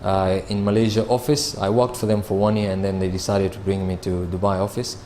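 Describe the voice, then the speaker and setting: A man, answering interview questions about his sales job in a measured way, with frequent fillers and restarts. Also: low voice, flat delivery, mellow, slight background noise. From Kenya. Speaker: sales manager.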